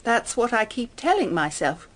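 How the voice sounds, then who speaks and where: A middle-aged British stepmother in a radio drama. Her voice is fairly high and husky, moving freely up and down. She is self-deprecating and brave, with fragile feeling close under the surface. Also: big pitch swings, moderate pace. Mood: careful, rueful.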